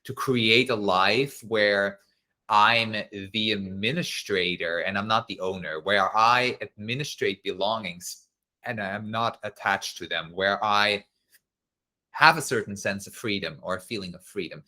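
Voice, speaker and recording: Male host, forceful bright tone, relaxed and talkative on a live stream. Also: lowish voice, a little slow. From Switzerland. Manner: casual and chatty, laughing easily, with many fillers. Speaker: masterclass host. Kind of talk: live-streamed online class, reading viewer comments.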